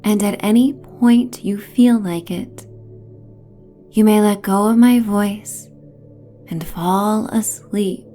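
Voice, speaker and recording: Woman, sleep story narrator, very slow pace, soft mellow tone, soothing, breathy phrase endings, music underneath. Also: medium pitch. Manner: soothing, gentle, phrases trailing into breathy near-whispers. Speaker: narrator and host of sleep stories.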